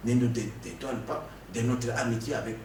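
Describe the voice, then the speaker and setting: A man from the Congo giving an animated political interview, emphatic and prone to stammering restarts. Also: mid-range voice, fairly quick. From Democratic Republic of the Congo. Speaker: political guest. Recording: echoey room, distant mic, faint noise.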